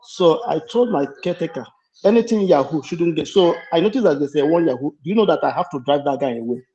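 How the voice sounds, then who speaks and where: A man's voice: a little high, lively intonation, quick pace, dark tone. A man with an Igbo Nigerian accent, a guest on a live panel, chatting and telling stories from his own life. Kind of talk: casual live panel chat. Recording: muffled lo-fi call audio.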